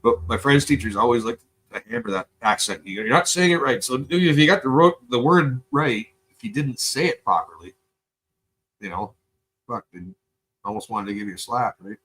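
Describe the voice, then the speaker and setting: A man from Canada streaming live, chatting loosely and profanely with lots of fillers, and he is high. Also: moderate pace, fairly bright tone, poor audio. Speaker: live streamer reacting to videos. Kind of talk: casual rambling stream chat.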